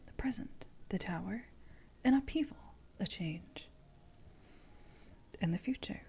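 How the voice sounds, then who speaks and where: A woman talks as an ASMR livestreamer in a soft, mellow voice. Her pace is slow and unhurried, and she often slips into whispering. The manner is hushed and playful. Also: medium pitch, expressive, vocal fry. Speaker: streamer reading tarot.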